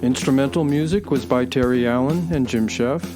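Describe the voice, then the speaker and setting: A man's voice: mid-range voice, moderate pace. A man, the show's announcer, reading the closing credits in a friendly, lightly self-deprecating way. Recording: music playing behind.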